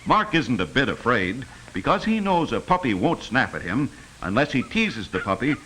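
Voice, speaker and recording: A man's voice: medium pitch, sing-song, moderate pace, bright, forceful tone, husky. A man narrating a 1950s educational film, telling a simple story earnestly, as if for children. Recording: noisy vintage 1950s film soundtrack.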